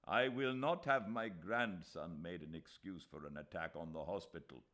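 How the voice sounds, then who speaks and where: A man's voice: sits low, normal speed, fairly bright tone. A male reader voicing a cautious old nobleman who implores and protests. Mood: protesting.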